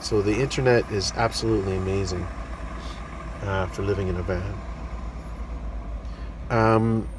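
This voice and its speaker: A man with a stuffy head cold talks casually to his viewers in a low voice. He rambles, filling the gaps with ums and uhs. Faint traffic noise hums behind him. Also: fairly even intonation, measured pace, long pauses. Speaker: vlogger. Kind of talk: casual talk to camera.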